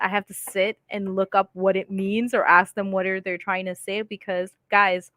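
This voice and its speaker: A woman's voice: medium pitch, fairly bright tone, no room sound. Chatty woman hosting a live stream. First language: English. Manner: chatty, reads viewer comments aloud and laughs often. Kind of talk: casual live-stream chat.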